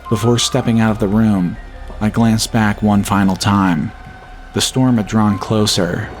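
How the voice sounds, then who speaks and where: A man narrating a scripted first-person horror story in a measured, solemn storytelling manner. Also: fairly low pitch. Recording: music bed behind the voice. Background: atmospheric music.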